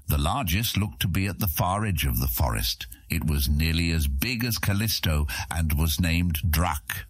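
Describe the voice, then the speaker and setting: A man reads a fantasy story in a very deep, husky voice. His measured, dramatic delivery moves expressively up and down in pitch. The sound is close and dry, as in an audiobook. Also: no room sound. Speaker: audiobook narrator. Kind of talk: scripted fantasy story narration.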